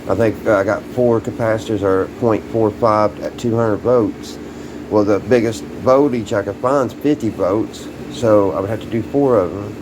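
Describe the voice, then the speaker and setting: A man with a fairly low voice talks casually through a hands-on hobby demonstration. He is informal and folksy, thinking aloud as he works. A clearly audible ambient hum sits behind him on the camera's microphone. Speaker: hobbyist showing restored vintage radios. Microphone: handheld camera, sometimes set down farther away. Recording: loud background hum and ambient noise.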